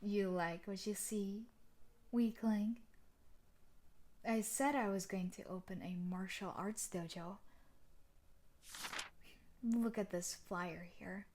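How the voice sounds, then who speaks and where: A woman's voice: medium pitch, darkish tone. A woman playing a tough, sadistic, possessive bully and martial artist in a roleplay audio drama, taunting and condescending. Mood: smug, teasing.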